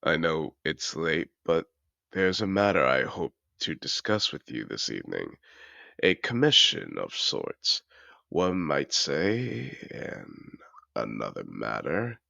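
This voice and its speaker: Man acting as very deep, seductive aristocrat dragon. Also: bright, forceful tone, dry recording, dull audio, heavily acted. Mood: courtly, intimate. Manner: courtly, formal, smooth-talking. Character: suave, seductive male dragon disguised as wealthy aristocrat. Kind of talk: romantic fantasy audio roleplay.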